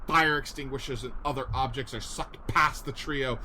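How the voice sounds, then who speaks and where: A man's voice: mid-range voice, fairly even intonation, normal speed, pushed and bright, a little hiss or hum, poor audio. A man narrating the action of a scripted radio play in a dramatic manner. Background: music.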